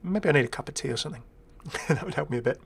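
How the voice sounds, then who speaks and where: A man's voice: mid-range voice, expressive, fast talker, vocal fry, slightly rough. A man giving casual, rambling first-impressions commentary as a gaming video creator, chatty, enthusiastic and self-deprecating.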